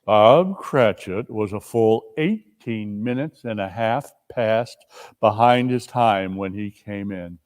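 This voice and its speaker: A man's voice: on the low side, takes it slowly, darkish tone, big-room sound. A man reading a classic story aloud as dramatic narration, with theatrical, emphatic phrasing. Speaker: story reader and performer.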